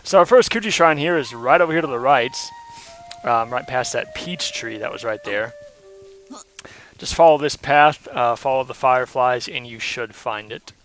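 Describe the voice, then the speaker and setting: Hoarse chatty young man with faint music. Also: medium pitch, normal speed, fairly bright tone, poor audio. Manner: chatty, rambling with frequent ums and uhs. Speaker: let's play host. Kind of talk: casual gameplay commentary.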